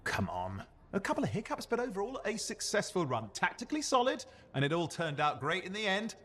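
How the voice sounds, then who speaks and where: A man's voice: high-pitched, huge pitch swings, a little fast, bright, forceful tone, slightly rough, full character voice. An actor playing a cheeky, playful young man in a video game, with a teasing, flippant manner that covers old hurt. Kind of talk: video game cutscene acting.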